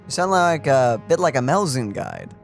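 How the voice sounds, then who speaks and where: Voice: mid-range voice, very animated, normal speed, gravelly. A let's play host giving casual, joking and sarcastic commentary over game music.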